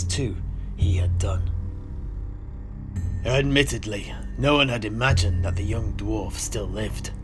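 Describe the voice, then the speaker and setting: A low-pitched male storyteller delivers scripted fantasy narration with dramatic weight. Instrumental music plays clearly behind his voice throughout. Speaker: storyteller, narrator of a game campaign.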